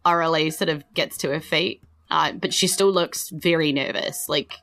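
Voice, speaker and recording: A woman's voice: low-pitched, normal speed, a bit bright, faint music behind. A woman with a New Zealand accent improvising narration as a tabletop game master in an informal, storytelling way.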